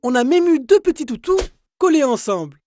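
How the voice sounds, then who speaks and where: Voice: sits high, sing-song, a little fast, warm tone, a little hiss or hum. A performer voicing a flamboyant, boastful hairdresser dog uncle in a French children's cartoon, with theatrical delivery. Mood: showy, boastful.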